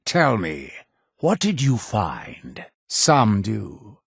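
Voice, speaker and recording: A suspicious, stern cult leader for a video game. His voice is husky and fairly low, swinging widely in pitch while staying formal and deliberate. He sounds like a solemn preacher, fatherly on the surface and menacing underneath. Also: huge pitch swings, dry recording, clean studio sound, exaggerated voice. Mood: calm, pious. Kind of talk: scripted video game dialogue.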